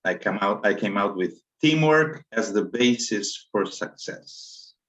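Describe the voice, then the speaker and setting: A man from Spain, introducing himself in a relaxed way on a video call. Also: fairly low pitch, a little slow, far from the mic, rough recording. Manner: relaxed, laughing aside.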